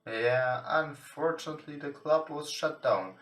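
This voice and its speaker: Bright-voiced man, non-native English, roomy sound. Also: fairly high pitch, unhurried. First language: not English. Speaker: let's play host. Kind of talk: reading visual novel text aloud. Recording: roomy echo, distant from mic. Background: soft guitar game music.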